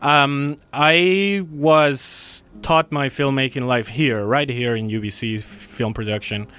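A man's voice: mid-range voice, slow pace, fairly bright tone, clear, resonant tone. A man in his thirties from Colombia, speaking English as a filmmaker guest. He is friendly and chatty, rambling a little and reaching for words with fillers. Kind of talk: casual interview chat.

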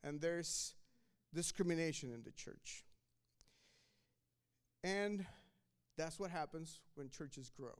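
Man, pastor, sermon, conversational, resonant voice, slightly high pitch. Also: slow pace, pauses often, clear, resonant tone. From Mexico. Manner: conversational, with frequent restarts and repeated words.

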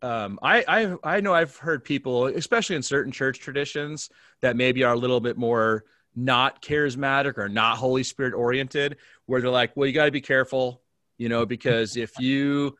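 A man's voice: medium pitch, moderate pace, pushed and bright, dry recording. American man, pastor talking in dry close sound. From Alaska, United States. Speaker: pastor, interview guest. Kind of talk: conversational faith interview.

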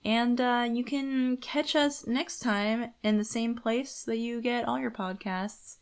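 A woman's voice: on the high side, steady tone, slow, smooth. A woman co-hosting a podcast, discussing a book casually and reasoning her points through in a conversational way. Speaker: podcast co-host.

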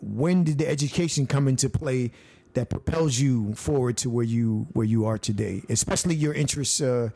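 A man from the United States hosting a podcast interview, halting and jokey, stumbling through many restarts and fillers. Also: mid-range voice, vocal fry, warm tone. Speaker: podcast host. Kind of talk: casual interview.

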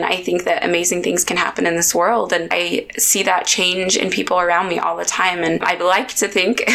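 A woman hosting a podcast in upbeat, chatty talk, a little hoarse and raspy from a sore throat. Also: fairly low pitch, a bit bright. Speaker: podcast host. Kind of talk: casual podcast chat.

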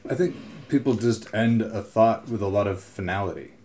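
A young man co-hosting a casual podcast, telling little stories with a wry, animated delivery. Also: on the low side, normal speed, slight background noise. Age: young adult. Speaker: podcast co-host. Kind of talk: casual chat.

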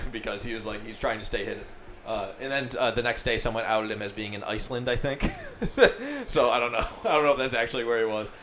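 A man's voice: medium pitch, lively intonation, fairly quick, a bit bright, slight background noise. A man hosting a podcast in casual chat, rambling, swearing freely, with many fillers. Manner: profane, rambling, filler-heavy. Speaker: podcast host.

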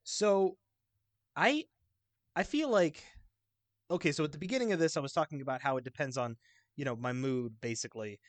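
A man's voice: fairly high pitch, normal speed, long pauses, dry recording. A man on a podcast panel, explaining his opinions about games in an informal, rambling way. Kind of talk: casual video game discussion.

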